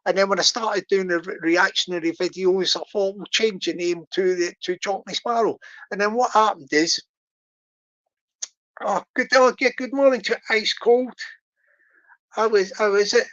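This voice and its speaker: A man's voice: high voice, fairly even intonation, normal speed, a bit bright, dull audio. An older man with a Scottish accent, hosting his own channel and chatting casually to viewers on a livestream, rambling and repeating words as he restarts his sentences. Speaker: YouTube channel host.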